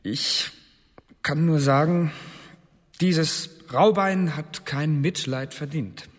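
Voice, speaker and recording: An actor playing an earnest, troubled court clerk in a German historical radio drama, deferential and a little hesitant. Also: male voice, a little high, slow pace. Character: earnest, troubled male court clerk who admires theatre. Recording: long reverberant hall.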